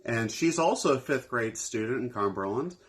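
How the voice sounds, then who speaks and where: A man's voice: mid-range voice, lively intonation, moderate pace. A man hosting his own channel, giving a short, friendly introduction in a casual style and speaking directly to young viewers. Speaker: channel host introducing a reader.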